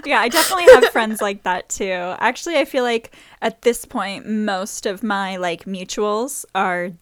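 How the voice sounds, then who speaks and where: A woman's voice: medium pitch, moderate pace, a bit bright, no echo. A woman co-hosting a casual podcast, rambling and giggly, with lots of "like". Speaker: podcast co-host.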